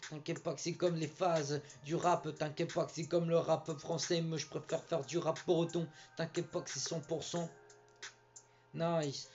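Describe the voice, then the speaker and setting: Rambling boastful freestyle from a man, slightly higher voice, muffled mix over a beat. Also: darkish tone. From Brittany. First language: French. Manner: rambling, repetitive boastful flow. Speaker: rapper. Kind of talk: freestyle rap.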